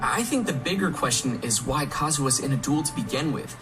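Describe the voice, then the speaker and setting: A man presents as a program host in an upbeat, scripted style. His intonation is very animated, and music is clearly heard underneath. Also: mid-range voice, brisk. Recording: music under a played stream.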